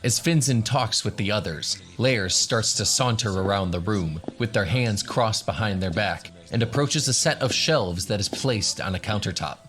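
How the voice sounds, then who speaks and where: Low-voiced man narrating fantasy. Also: lively intonation, moderate pace, few pauses, fairly bright tone, soft background music. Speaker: game master and narrator. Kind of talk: scripted narration of a tabletop fantasy story, voicing characters.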